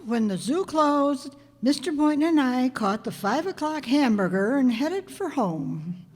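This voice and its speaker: A woman's voice: high-pitched, darkish tone, full character voice. A woman playing a wry, romantically hopeful schoolteacher in old-time radio comedy, with a dry, knowing delivery. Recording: echoey hall stage recording.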